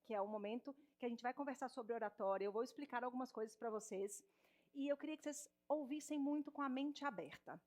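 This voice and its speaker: A woman in her thirties with a Minas Gerais accent, a speech therapist giving an animated stage talk straight to the audience in an auditorium. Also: high voice. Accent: Minas Gerais Portuguese. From Minas Gerais, Brazil. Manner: warm, animated, addresses audience directly.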